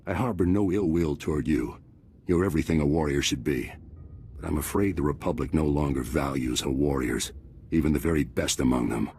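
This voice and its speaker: An actor playing a grave, disillusioned military commander in a video game, speaking in a solemn, measured, formal way. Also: male voice, rumbling low, darkish tone, a little hiss or hum. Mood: grave regret. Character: disillusioned male traitor commander, grave and honorable soldier. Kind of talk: video game cutscene acting.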